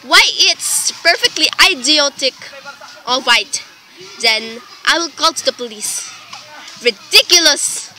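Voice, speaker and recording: High, bright, imperious anxious wife acted by a woman. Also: expressive, slow pace, exaggerated voice. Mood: indignant, exasperated. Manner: melodramatic, insistent, indignant. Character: anxious, imperious, exasperated invalid wife reporting a murder plot. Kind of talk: amateur radio play acting.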